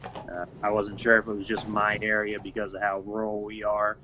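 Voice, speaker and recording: Low-voiced man in a dull recording. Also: little pitch movement, moderate pace, bright, forceful tone, slight background noise. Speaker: gun-rights group organizer. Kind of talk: casual podcast chat.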